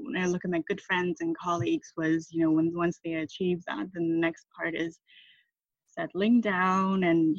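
A woman's voice: on the low side, no room sound. A woman from Taiwan in her thirties, a guest in an interview, speaking thoughtfully with many fillers and restarts. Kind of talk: reflective conversational interview. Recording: dull muffled sound, dry.

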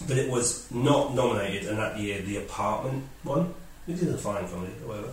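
A man's voice: on the low side, fairly quick, a little hiss or hum. A man hosting a casual film chat and giving firm opinions. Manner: leads the questions, opinionated. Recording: echoey room, distant mic.

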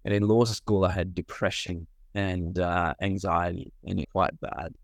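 A man with a very deep voice talks earnestly about his life, often pausing to gather his words. The sound is dry and close. He is from Sri Lanka. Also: flat delivery, vocal fry, no room sound. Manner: earnest, with frequent pauses and fillers. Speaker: guest, medical doctor. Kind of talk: reflective interview answers.

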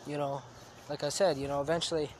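A man's voice: on the high side, little pitch movement, quick pace, a little hiss or hum. A man hosting his own podcast, talking casually with lots of fillers and restarts while he has a cold and sniffles. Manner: rambling, many fillers and restarts. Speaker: podcast host. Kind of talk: casual solo podcast talk.

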